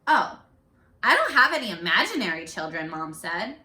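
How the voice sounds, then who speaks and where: A woman reading a picture book aloud to young children in an expressive, instructive way, speaking to them directly as a teacher. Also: on the low side, normal speed. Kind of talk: read-aloud for young children with questions to the listeners. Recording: echoey room, distant microphone.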